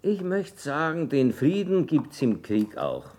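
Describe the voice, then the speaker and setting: An actor playing a boastful, cynical army chaplain in a radio drama, pompous and declamatory. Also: male voice, on the high side, sing-song, slow pace, darkish tone, heavily acted. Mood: cynical, expansive. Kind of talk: stage-style German radio drama acting.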